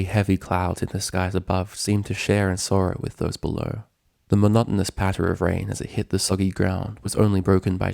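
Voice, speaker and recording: A man narrates a story in a low, heavily creaky voice. His delivery is somber and measured, staying within a narrow, subdued range of pitch, like an audiobook reading. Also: darkish tone, dry recording, clean studio sound.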